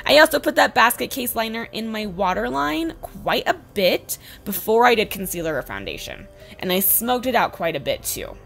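A woman in her twenties with a slightly hoarse voice, giving a casual, chatty makeup tutorial voice-over. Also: fairly high pitch, big pitch swings, normal speed, fairly bright tone. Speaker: beauty vlogger, host. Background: background music.

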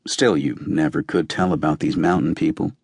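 A man reading a novel as an audiobook narrator, with measured, deliberate phrasing. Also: very deep voice, animated, gravelly, a little mellow, slightly rough, no echo. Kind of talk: scripted literary narration.

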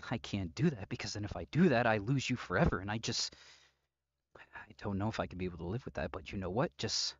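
A man playing an obsessive, unstable captor and lover in an intimate audio roleplay, halting and brooding, swinging between pleading and threat. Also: on the low side, no echo, lo-fi sound, natural voice. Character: obsessive, unstable male yandere captor, menacingly tender lover.

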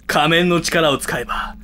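A man's voice: on the high side, pushed and bright, slight background noise, exaggerated voice. A man playing a theatrical, fanatical school club president turned villain in an amateur voice drama, grandiose and melodramatic.

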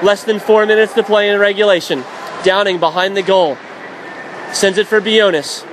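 Male hockey play-by-play announcer, high voice, faint noise behind, rising to shouts on big plays. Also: fairly even intonation. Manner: rapid, rising to shouts on shots and saves.

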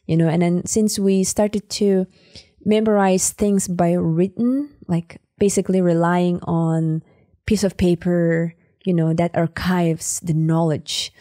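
A woman's voice: lowish voice, steady tone, slow pace, mellow, no room sound, studio quality. A woman from the Sakha Republic, a native Sakha speaker with a Sakha accent, talking as a podcast guest and often searching for words with fillers. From Sakha Republic, Siberia. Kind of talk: conversational interview.

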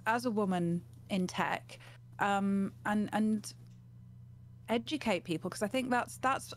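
A woman in her forties talking casually as an interview guest, telling anecdotes in a conversational way. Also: medium pitch. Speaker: guest, gaming streamer. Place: small home room, indoors, on a video call. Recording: clear background noise with hum.

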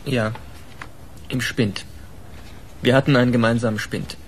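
A man's voice: medium pitch, steady tone, a little slow, slight background noise, close to an everyday voice. Strained, defensive policeman played by a man. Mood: uneasy. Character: weary, defensive male policeman under suspicion. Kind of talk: naturalistic German radio crime drama.

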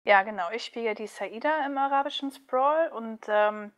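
High-voiced woman, casual interview guest. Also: normal speed.

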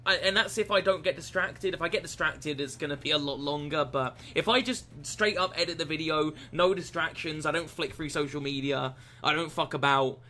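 A young man in his twenties with a croaky voice, chatting and swearing through a live football game stream from a small room. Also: a little high, fairly quick, hardly any pauses, a little hiss or hum. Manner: swears often, shouts and laughs at the game. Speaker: gaming streamer. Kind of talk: live gameplay chat.

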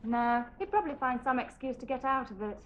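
A woman in her thirties playing a sharp-tongued wife fed up with men, making wry, tart remarks. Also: high-pitched, fairly quick, close to an everyday voice. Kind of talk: 1980s sitcom acting. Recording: dull lo-fi TV audio with faint hiss.

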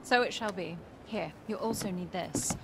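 A woman's voice: mid-range voice, moderate pace, gravelly, lightly acted, rough recording. An actress playing a kindly, earnest fantasy townswoman in a video game, speaking formally and sincerely. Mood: kindly, helpful. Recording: music behind the voice.

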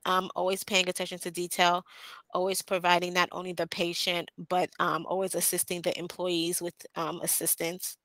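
A young woman speaks through a headset on a video call from a small room, answering interview questions with frequent "um" pauses. Her voice is bright and a little low, and the sound is dry and close. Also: monotone, dry recording, rough recording. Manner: hesitant, many "um" fillers. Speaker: job candidate.

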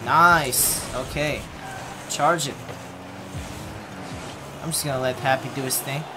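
Young man, headset, casual stream talk, blunt, game music loud behind. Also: steady tone, slow. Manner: blunt, talks back to chat, low mumbled asides. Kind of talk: casual live-stream chat while playing. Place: home room at a desk. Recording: game music playing loudly underneath.

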